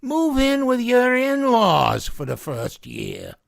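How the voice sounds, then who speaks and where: Gravelly blustering store boss for a radio comedy, played by a man, dry close mic. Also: very high-pitched, wildly swooping pitch, takes it slowly, no echo, exaggerated voice. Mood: gruff. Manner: curt, blustering. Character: gruff stingy older male store boss. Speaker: radio drama actor. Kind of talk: vintage radio comedy acting.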